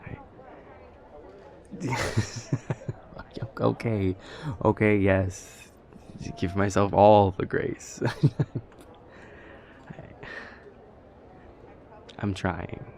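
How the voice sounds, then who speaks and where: A man's voice: fairly low pitch, fairly even intonation, drawn-out words, pauses often, crowd noise. A man playing an anxious, self-deprecating vampire boyfriend in an intimate roleplay audio, stammering and rambling, often dropping to a murmur. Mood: embarrassed, sheepish. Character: nervous, self-deprecating immortal male vampire boyfriend, affectionate.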